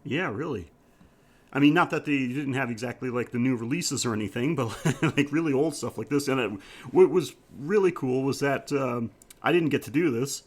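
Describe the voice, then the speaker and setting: A man speaks as the host of a casual film review podcast. He is enthusiastic and rambles along conversationally, with a voice of medium pitch. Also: normal speed.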